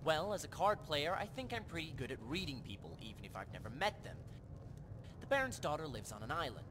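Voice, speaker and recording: Chatty gambler companion for game, male actor: higher voice, animated swoops, persuasive, rumbling noise behind. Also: a little fast. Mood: eager, persuasive. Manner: casual, talkative, expressive. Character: chatty, confident male card-player adventurer, persuasive schemer. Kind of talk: scripted video game dialogue. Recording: clear rumbling background noise.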